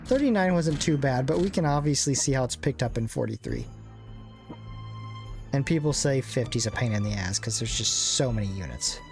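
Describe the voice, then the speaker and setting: A man's voice: medium pitch, very animated, moderate pace, darkish tone. A young man giving casual, rambling commentary on a strategy game, joking and poking fun at himself. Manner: rambling, joking, self-deprecating asides. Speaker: gaming channel host. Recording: game music playing behind. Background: game music.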